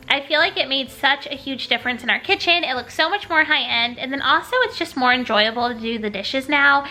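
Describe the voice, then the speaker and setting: A woman's voice: sits high, pushed and bright. A woman hosting a casual home decor vlog, chatting in an upbeat way with a nasal, congested-sounding voice. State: congested from being sick. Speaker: video host, home decor vlogger.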